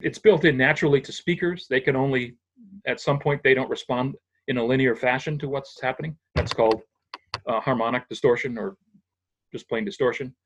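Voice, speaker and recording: A man's voice: mid-range voice, a little fast, a little hiss or hum, low-quality recording. A man who works as a sound engineer, patiently explaining audio equipment in a casual, technical chat, often restarting his sentences.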